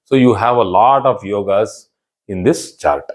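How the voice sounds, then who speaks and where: A man's voice: fairly low pitch, measured pace. A man with an Indian English accent, a native Kannada speaker, teaching an online astrology class in an explanatory, emphatic way and repeating key phrases. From southern India.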